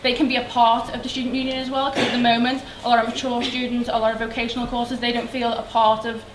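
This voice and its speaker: A woman's voice: fairly high pitch, flat delivery, fast talker. Earnest Liverpool woman in echoey hall. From Liverpool, England. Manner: earnest, many ums and restarts. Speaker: election candidate. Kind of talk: hustings speech. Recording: echoey hall, faint background noise.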